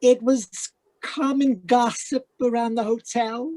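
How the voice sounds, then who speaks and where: A woman's voice: fairly high pitch, fairly even intonation, slow, warm tone. A woman playing a polite, gossipy and easily flustered hotel desk clerk in an old-time radio drama. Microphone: video call.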